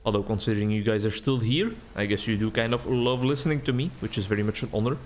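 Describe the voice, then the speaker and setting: A young man with a Belgian accent giving relaxed, rambling commentary while playing a game, recorded at home on a desk mic. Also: lowish voice, fast, slight background noise. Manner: rambling, relaxed, thinking aloud. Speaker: gaming video creator. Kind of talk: casual gameplay commentary. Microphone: desk mic with webcam.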